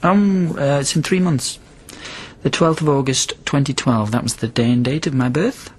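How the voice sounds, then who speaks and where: An actor playing a wistful, pleading quarantined astronaut who is centuries old and immortal, in science fiction radio drama style. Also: male voice, medium pitch, moderate pace, lightly acted. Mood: wry, resigned. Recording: clearly noisy background ambience.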